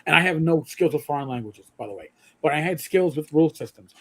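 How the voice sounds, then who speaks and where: A man's voice: a little high, expressive, quick pace, a bit bright. A middle-aged man co-hosting a gaming livestream, rambling with stammered restarts and the odd swear. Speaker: livestream co-host. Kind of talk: casual gaming chat.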